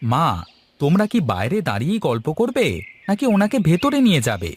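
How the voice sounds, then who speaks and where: Lively, kind young village lad, voiced by a man. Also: a little high, fairly quick. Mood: concerned. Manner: polite, inquisitive, expressive. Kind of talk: Bengali moral-story cartoon dubbing.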